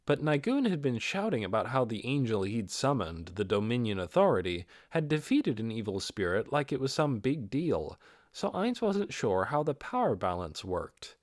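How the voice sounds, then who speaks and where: A man's voice: mid-range voice, no room sound, clean studio sound. A man reading a fantasy novel aloud as scripted narration. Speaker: amateur audiobook reader.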